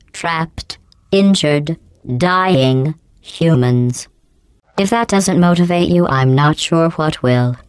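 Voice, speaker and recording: An actress voicing a cold, passive-aggressive female AI supervisor in a video game, in a deadpan, sarcastic, mock-polite manner through a robotic voice filter. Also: deep voice, clean tone, no room sound. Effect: robotic voice processing.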